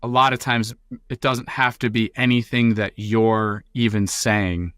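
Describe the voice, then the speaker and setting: A talkative man reflecting on listening as a guest, animated and self-aware. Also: on the low side, a little slow, clear, resonant tone, dry recording, studio quality. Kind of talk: reflective interview answer.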